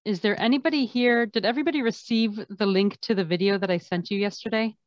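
A woman's voice: medium pitch, fast, dry recording. A woman informally hosting an online class over a video call. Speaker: online course host. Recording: muffled video call audio.

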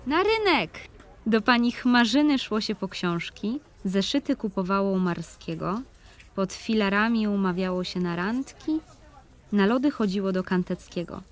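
A woman's voice: mid-range voice, animated, normal speed. A woman reading scripted literary narration for an audio play, in the manner of a reminiscing storyteller.

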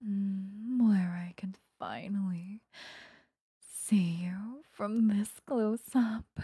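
A woman voicing an obsessive, possessive female vampire in a breathy, coaxing ASMR whisper, close to the mic. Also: medium pitch, warm tone, no room sound, exaggerated voice. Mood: adoring, seductive. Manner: slow, coaxing, intimate. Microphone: close microphone.

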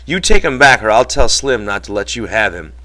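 A man voicing a protective, exasperated ranch hand in a storybook reading, telling things forcefully in folksy, ungrammatical speech. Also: on the low side, big pitch swings, a little fast. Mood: scolding.

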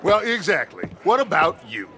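A man's voice: high voice, big pitch swings, moderate pace, noisy background. A man playing a commanding, sardonic outlaw gang leader in a western video game, with an authoritative, oratorical manner.

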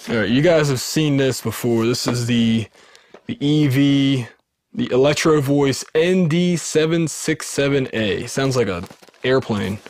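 A man's voice: mid-range voice, a little hiss or hum. Sibilant man rambling about gear. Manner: rambling, restarts often, sibilant. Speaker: home recording video host. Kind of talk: casual gear walkthrough to camera. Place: small wood-paneled room indoors. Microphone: studio dynamic mic off camera.

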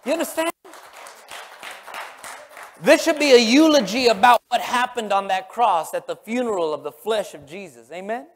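A man's voice: high-pitched, normal speed, pauses often, a faint crowd. A middle-aged man preaching a sermon in an emphatic, repetitive way from a church stage. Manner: emphatic, repetitive, swinging from loud exclamations to hushed murmurs. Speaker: pastor preaching. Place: large church sanctuary, on stage. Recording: long hall reverb from sanctuary.

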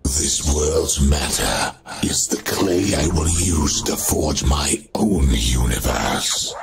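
Very deep, gravelly robotic computer villain. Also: male voice, expressive, music in the background, full character voice. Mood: grandiose. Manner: menacing, grandiose, slow declamation. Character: menacing male sentient computer virus, grandiose and sinister. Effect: robotic vocoder filter. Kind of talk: video game voice lines.

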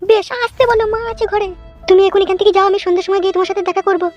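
An anxious young cartoon village woman, voiced by a performer, secretive and placating. Her thin voice is very high and hurried, with faint music behind it. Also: fairly even intonation, dull audio. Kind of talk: animated comedy cartoon dialogue.

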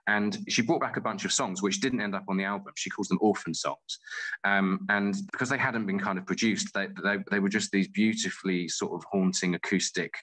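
Deep-voiced man in conversation. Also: fairly even intonation, brisk, a bit bright, low-quality recording. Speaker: interview guest, playwright. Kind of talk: conversational interview answers, storytelling.

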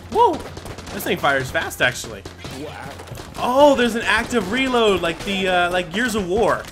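A young man casually commentating a game he is playing, excitable, with lots of fillers and loud whoops. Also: high voice. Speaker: gaming channel host. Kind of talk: casual let's play commentary. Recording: game music and gunfire behind voice. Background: game music and gunfire.

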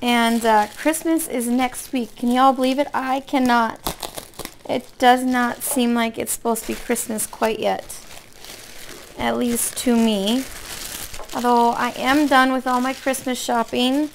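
A woman with a hoarse voice from being sick, chatting casually in a folksy, rambling way as a craft vlogger. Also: on the high side, fairly even intonation, measured pace, slight background noise. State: hoarse from illness with a cough. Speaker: craft vlog host. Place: indoor room at a table.

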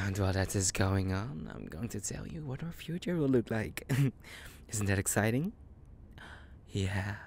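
A man's voice: on the low side, animated, unhurried, slight background noise. A man playing a psychotic, possessive young kidnapper in an intimate audio roleplay, cooing menacingly in half whispers with creepy giggles. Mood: smug, elated.

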